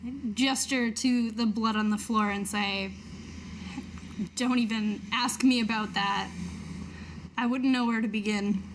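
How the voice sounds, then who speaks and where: Very slow, deadpan woman, highish voice. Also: low-quality recording. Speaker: player in a tabletop roleplaying game. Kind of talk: casual in-character improvised chat. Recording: noticeable background noise.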